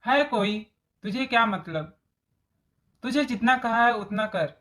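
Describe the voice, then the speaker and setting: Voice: mid-range voice, animated, measured pace, pushed and bright. A voice actor playing a scheming, greedy middle-aged mother-in-law in a story cartoon, with exaggerated storybook delivery. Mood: bossy, demanding. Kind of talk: Hindi moral story cartoon dialogue.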